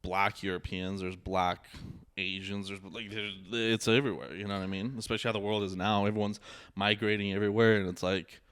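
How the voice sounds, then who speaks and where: Rambling young man, low dry voice. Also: flat delivery, brisk, a bit bright, clean tone, no room sound. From Bosnia. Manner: rambling, many "like" and restarts. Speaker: podcast co-host. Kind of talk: casual chat.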